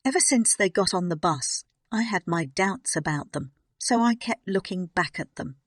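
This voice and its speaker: A man's voice: high voice, animated, moderate pace, fairly bright tone, dry recording, studio quality, pitched above the performer's own voice. A man voicing a watchful, grave old woman in a horror story, explaining things in a serious manner. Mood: ominous. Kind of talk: horror story character dialogue.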